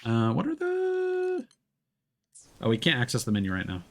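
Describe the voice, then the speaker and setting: A man's voice: mid-range voice, huge pitch swings, moderate pace. A man doing casual live game commentary, thinking aloud into a streaming mic with game music behind him. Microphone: streaming microphone with headphones.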